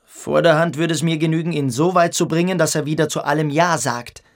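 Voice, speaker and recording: A smug boarding-school boy in a radio drama, acted by a man, with a fairly high voice. He schemes with amused cynicism and mocks the others as he talks. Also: fairly quick, close to an everyday voice. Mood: amused, scheming. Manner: mocking, smug. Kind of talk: radio play acting.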